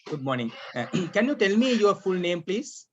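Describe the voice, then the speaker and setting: A man running a mock speaking exam as tutor, talking haltingly with many fillers and often clearing his throat, heard over a video call. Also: high-pitched, sing-song, slight background noise. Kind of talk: examiner questions over an online class. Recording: dull lo-fi online call audio.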